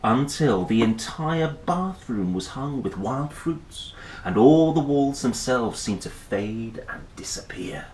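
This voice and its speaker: Guy reading a kids book out loud, playful, voice swoops around, echoey room. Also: mid-range voice. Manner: playful, expressive, dramatic pauses and gasps. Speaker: host reading picture books aloud. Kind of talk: animated children's storybook read-aloud with chatty asides. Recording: roomy echo, faint background noise.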